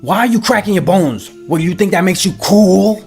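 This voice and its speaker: An exasperated parent played by a woman scolds with theatrical flair in a family comedy skit. The voice is gravelly and very animated in pitch, and music plays behind it. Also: fairly quick. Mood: scolding, exasperated. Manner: dramatic, scolding. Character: exasperated, scolding parent arguing with a bratty kid.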